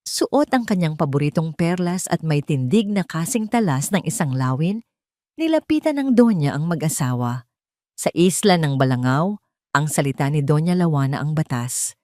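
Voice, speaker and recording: A woman narrating a scripted audio drama in a measured, dramatic storytelling manner. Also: low-pitched, expressive, dark tone, no echo, clean studio sound.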